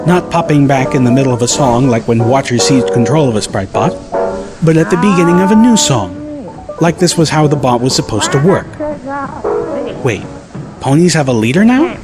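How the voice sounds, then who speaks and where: Guy narrating an audiobook story in first person, voice a bit high, music clearly playing under it. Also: expressive, normal speed.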